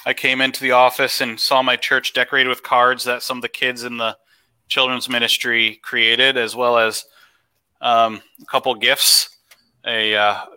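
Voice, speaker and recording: A male pastor co-hosting the show, with a very sharp, bright edge to his voice. He speaks in a friendly way and often stops and restarts his sentences. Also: mid-range voice, flat delivery, clear, resonant tone. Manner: halting, friendly. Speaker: pastor, co-host. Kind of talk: casual chat.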